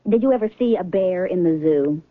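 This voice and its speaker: An actress playing an unflappable, teasing airline counter clerk in a 1970s radio drama, breezy and matter-of-fact. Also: female voice, on the low side, expressive, fairly quick, no echo, close to an everyday voice. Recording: muffled, phone-like old radio broadcast.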